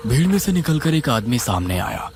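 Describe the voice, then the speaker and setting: A man narrating a scripted horror story in a suspenseful, dramatic, expressive way. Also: mid-range voice, moderate pace, noisy background. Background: music.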